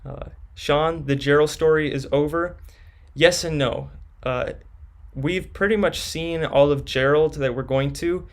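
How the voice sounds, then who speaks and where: Young man, stream host, fairly high voice, relaxed Q&A with chat. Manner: reads chat questions aloud and answers casually. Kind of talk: casual live stream Q&A.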